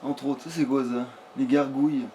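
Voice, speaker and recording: A man's voice: medium pitch, fairly even intonation, normal speed, dark tone. A man doing casual, sweary let's play commentary, grumbling at the game as he plays. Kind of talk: casual gaming commentary with banter. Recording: clearly noisy home game recording.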